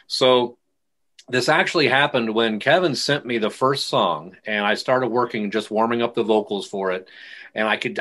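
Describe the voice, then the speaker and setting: A man's voice: on the low side, fairly bright tone. A middle-aged man, a pastor and guitarist, rambling through stories in a casual interview with many restarts.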